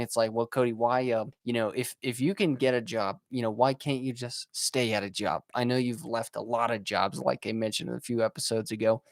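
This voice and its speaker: A young man in his twenties hosts a solo podcast, talking earnestly to his listeners. He speaks in a motivational way, often pausing on fillers and restarting his sentences, and his voice sits at an ordinary middle pitch. The recording is dry and close, with no room sound. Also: dry recording. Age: 20s. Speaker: podcast host. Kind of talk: casual monologue.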